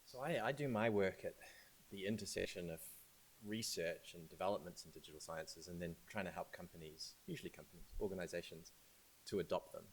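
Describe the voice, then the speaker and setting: A man's voice: sits low, brisk, husky, rough recording. A man with a New Zealand accent, a digital sciences researcher and consultant, giving a thoughtful, somewhat hesitant talk at a launch event. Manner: thoughtful, hesitant with fillers and restarts.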